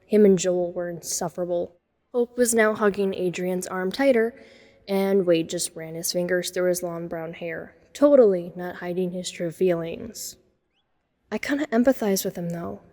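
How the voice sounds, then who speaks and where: Mellow, introspective teenage girl, acted by a woman, reverberant. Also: lowish voice, moderate pace, natural voice. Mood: wry, wistful. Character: introspective, self-conscious teenage girl, a science geek outcast. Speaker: narrator and lead character. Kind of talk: first-person dramatic narration.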